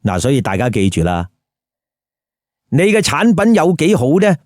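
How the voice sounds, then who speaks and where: A man's voice: on the high side, huge pitch swings, moderate pace, darkish tone, dry recording, studio quality. A man with a Hong Kong Cantonese accent telling a story with colloquial, emphatic commentary and rhetorical questions. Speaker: storyteller host.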